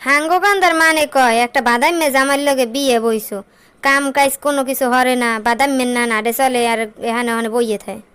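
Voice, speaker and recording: Nagging cartoon wife played by a woman, high-voiced, Barisal-region Bangladeshi accent, weary and scolding. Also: fast talker, fairly bright tone, clear, resonant tone. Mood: complaining. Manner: scolding, lamenting. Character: nagging, weary working wife of a jobless man. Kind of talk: comic cartoon dialogue in rural dialect.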